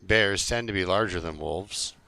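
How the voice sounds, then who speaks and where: A man's voice: low voice, a little slow, gravelly, sharp tone. A man live streaming a video game, chatting casually and jokingly to his viewers in an easygoing, rambling way.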